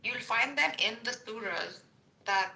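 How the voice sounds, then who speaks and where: Woman, probing questions, sarcastic, very bright tense voice, roomy echo, faint background noise. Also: a little high, expressive, a little slow, gravelly. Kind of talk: casual debate.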